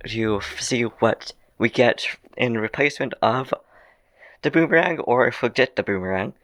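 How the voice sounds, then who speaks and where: A man's voice: mid-range voice, creaky, fairly bright tone. A young man casually commenting on his gameplay, rambling and correcting himself, with a slightly phlegmy voice. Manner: rambling, self-correcting, laughs often. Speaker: let's play gamer. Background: faint game music.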